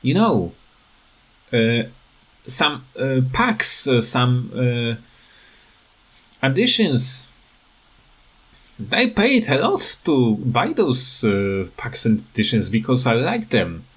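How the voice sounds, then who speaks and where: A tired man who is not a native English speaker, giving a casual unscripted rant in a halting, rambling way that repeats words. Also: medium pitch, very animated, a bit bright, breathy edge. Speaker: gaming video creator.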